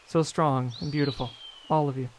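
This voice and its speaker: A man's voice: medium pitch, fairly even intonation, moderate pace, slight background noise, natural voice. An actor playing an earnest, idealistic man raised in space habitats, in a science-fiction audio drama. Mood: wondering. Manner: earnest, wondering.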